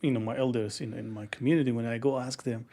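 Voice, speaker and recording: A man with a mellow, warm-toned voice joins a relaxed podcast chat. He talks haltingly, circling back and restarting his sentences as he works out what he means. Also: mid-range voice. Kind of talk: casual podcast conversation.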